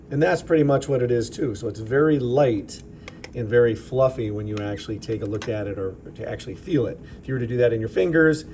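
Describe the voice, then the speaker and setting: Slightly raspy male chemistry teacher. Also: medium pitch, slight background noise. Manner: relaxed, explanatory, thinking aloud with fillers. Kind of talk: informal lab demonstration narration. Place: school chemistry lab with vents. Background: ventilation fans running.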